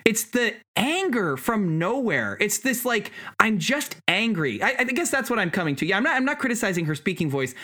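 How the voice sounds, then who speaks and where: A woman co-hosts a podcast with casual political commentary. Her voice is bright and very animated, rising and falling widely as she talks in a conversational way. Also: mid-range voice, normal speed, few pauses. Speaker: podcast co-host.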